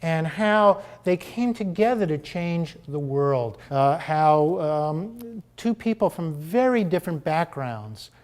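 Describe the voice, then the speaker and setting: Unhurried author with a mellow voice. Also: male voice, a little high, lively intonation. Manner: unhurried, thoughtful, with many fillers. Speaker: children's book author. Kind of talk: interview conversation about his book.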